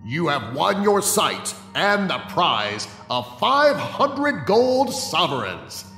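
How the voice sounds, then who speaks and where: A man's voice: sits high, very animated, slow pace, pushed and bright, faint music behind, exaggerated voice. An actor playing an imperious king who makes formal proclamations in a horror audio drama. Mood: regal, commanding. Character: imperious male king granting cruel games. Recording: echoey hall with long reverb.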